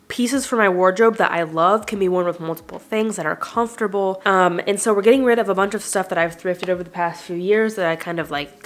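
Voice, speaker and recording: A young woman talking in a casual, upbeat, informal way, as a vlogger addressing her viewers. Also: medium pitch. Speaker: vlogger hosting a home declutter channel. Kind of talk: casual chatty vlog.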